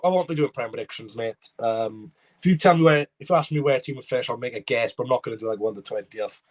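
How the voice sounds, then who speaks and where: A man's voice: medium pitch, rough recording. Chatty Welsh man streaming a match. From Wales. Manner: rambling, chatty, reads viewer comments aloud. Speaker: football watchalong streamer. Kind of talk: live match reaction and chat reading.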